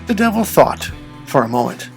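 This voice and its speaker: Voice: mid-range voice, big pitch swings, moderate pace, creaky, faint music behind. A podcast storyteller reading scripted folktale narration.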